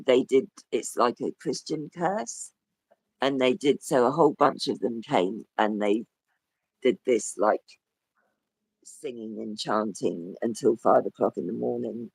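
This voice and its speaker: A man with a croaky, hoarse voice, talking as a guest in a rambling casual chat that trails off and restarts. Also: mid-range voice, darkish tone, no echo, low-quality recording. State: hoarse with a cough. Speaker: podcast guest. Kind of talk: casual conversation.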